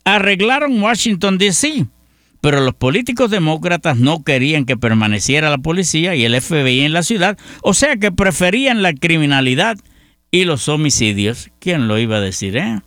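A man's voice: a little high, sing-song, normal speed, fairly bright tone, no room sound, studio quality. A middle-aged Puerto Rican man with a Puerto Rican accent hosting a news roundup, chatty and folksy, talking to listeners as friends. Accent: Puerto Rican Spanish. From Puerto Rico. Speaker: radio news host. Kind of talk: news roundup with personal commentary.

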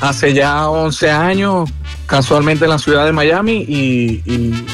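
A man's voice: a little high, slow pace, a bit bright. A man from Venezuela with a Venezuelan accent, a singer talking affectionately as a guest in a casual interview. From Maracaibo, Venezuela. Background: tropical dance music playing underneath.

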